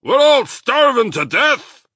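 A man voicing an excitable, despairing badger father in a children's storybook, blustering and emphatic. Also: medium pitch, takes it slowly, breathy edge, no room sound, studio quality, voice pushed up, heavily acted. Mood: frantic, despairing. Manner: blustering, agitated, emphatic delivery.

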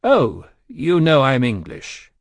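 A man's voice: mid-range voice, huge pitch swings, slow, husky, dry recording, pitched above the performer's own voice, heavily acted. A man voicing a genteel English spy in an audiobook, polite and slyly insinuating. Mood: sly, amiable.

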